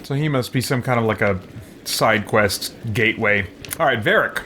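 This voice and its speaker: A man's voice: on the low side, moderate pace, bright, forceful tone, slight background noise. A middle-aged man giving casual gameplay commentary, muttering and thinking aloud. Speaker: gaming streamer. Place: small room at home.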